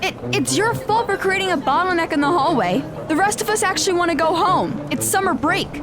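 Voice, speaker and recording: A woman acts as a pushy teenage schoolgirl in an audio drama. Her voice is very high, with a huffy, brash edge. Music plays behind the dialogue. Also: animated, brisk.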